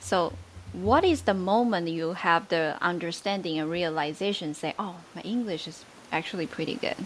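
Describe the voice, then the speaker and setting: A woman's voice: lowish voice, moderate pace, a little hiss or hum, rough recording. A woman co-hosting a podcast and interviewing a guest, a native Mandarin speaker, with enthusiastic, encouraging reactions. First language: Mandarin Chinese.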